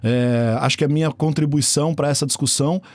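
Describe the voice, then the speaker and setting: A man's voice: a little high, fairly even intonation, a little fast, a little mellow, no echo. Hesitant man, dry sound. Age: 40s. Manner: thoughtful, hesitant, with frequent restarts. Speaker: researcher guest. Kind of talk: explanatory interview talk.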